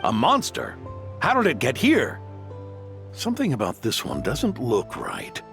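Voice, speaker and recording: An actor playing a kindly old knight and protective grandfather, gravelly and reassuring. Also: medium pitch, wildly swooping pitch, unhurried, exaggerated voice. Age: older. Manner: warm, reassuring, gently scolding. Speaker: game character. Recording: game audio with music behind.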